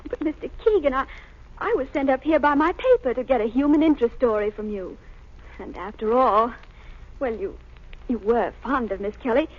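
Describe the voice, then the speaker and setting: A lo-fi 1930s radio recording of a woman playing a young lady reporter with a high voice. She is earnest and anxious, eager yet hesitant, in old radio drama style. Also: moderate pace, natural voice. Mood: pleading, careful.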